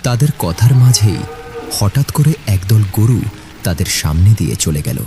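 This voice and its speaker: A man's voice: fairly low pitch, lively intonation, normal speed, music in the background. A man narrating a scripted ghost story for a cartoon. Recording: noisy, with rain and thunder behind.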